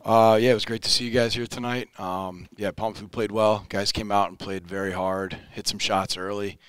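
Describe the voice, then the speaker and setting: A man in his forties, a high school basketball head coach, talking in a post-game interview in an upbeat, plain-spoken way. He often repeats words as he restarts a thought. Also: level tone, normal speed, a bit bright, smooth, dry recording. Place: indoor studio set in front of a backdrop. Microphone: headset mic.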